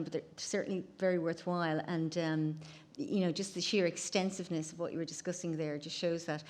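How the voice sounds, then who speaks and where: A woman moderating a conference session, thanking one speaker and introducing the next in a loose, chatty way. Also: mid-range voice. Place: conference room.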